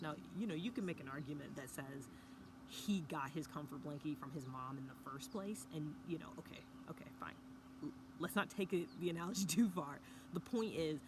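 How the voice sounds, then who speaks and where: A woman talking casually to camera in a hushed early-morning voice, recording outdoors by a fire pit with a mountain view. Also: low-pitched. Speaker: vlogger. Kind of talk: casual reflective talk to camera. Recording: outdoor ambience with background noise.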